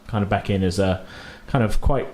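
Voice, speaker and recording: A man's voice: on the low side, normal speed, darkish tone. A man improvising narration as a game master, feeling his way through sentences piece by piece. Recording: faint background noise.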